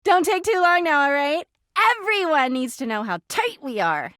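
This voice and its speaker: An actress voicing a needy, volatile, lovesick living laundry hamper in a video game, melodramatic and possessive in manner. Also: female voice, sits high, big pitch swings, normal speed, a bit bright, no echo, studio quality. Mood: flirty, eager. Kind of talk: video game character acting.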